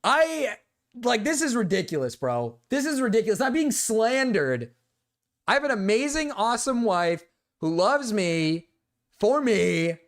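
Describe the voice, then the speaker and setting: Excitable young man streaming. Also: high voice, unhurried. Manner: excitable, swears, swings from loud indignation to mumbling. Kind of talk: casual reaction stream chat.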